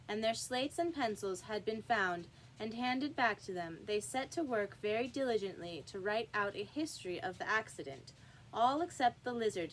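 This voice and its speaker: A woman's voice: a little high, a little hiss or hum, poor audio. A woman reading a children's classic aloud as a storyteller, with emphatic, acted-out delivery. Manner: emphatic, acts out character dialogue. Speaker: storybook reader.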